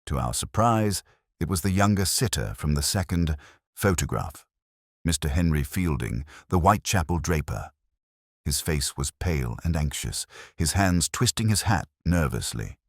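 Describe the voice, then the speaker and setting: A man with an extremely low voice narrates a classic mystery. His scripted reading rises and falls expressively, and the sound is dry and close. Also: lively intonation, moderate pace, no echo. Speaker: audiobook narrator.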